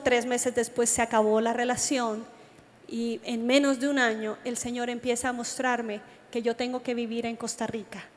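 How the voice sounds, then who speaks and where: A woman with a Colombian accent preaching to young people, telling personal stories and addressing her listeners directly. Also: on the high side. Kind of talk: youth sermon with personal testimony. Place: large reverberant meeting hall. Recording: long hall reverb from a sound system.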